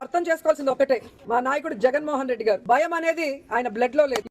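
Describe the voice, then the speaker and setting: Woman declaiming politics super fast, echoey muffled audio. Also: high-pitched, over music. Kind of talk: political speech. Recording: echoey, dull, very noisy clip.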